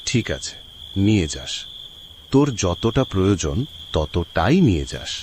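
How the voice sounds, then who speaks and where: A man's voice: low voice, big pitch swings, a little slow, a little mellow, slight background noise. A man voicing a stern but generous village guru in a cartoon, terse and mildly scolding. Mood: calm, indulgent.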